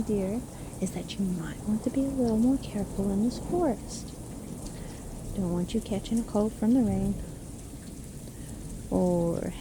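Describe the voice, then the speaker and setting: A woman playing a seemingly kindly, sly witch who lives alone in a forest, speaking gently and unhurriedly in a fantasy audio roleplay. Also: mid-range voice, noisy background, lightly acted. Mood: curious, teasing. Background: rain storm ambience.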